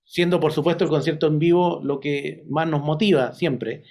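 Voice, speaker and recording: Higher-pitched Chilean man hosting, halting. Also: steady tone, a little mellow. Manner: halting, digressive. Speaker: interview host. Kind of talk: conversational interview. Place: indoor room on a video call. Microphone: video call.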